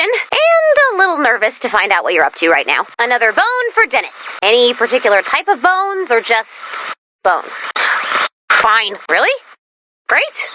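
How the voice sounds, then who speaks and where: A woman's voice: high-pitched, pushed and bright, a little hiss or hum, exaggerated voice, low-quality recording. A woman voicing a perky, nervous, geeky female robot assistant in a video game, chatty, quirky and easily flustered. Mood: wry, awkward. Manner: flustered, quirky, halting then gushing. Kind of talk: animated video game character voice lines.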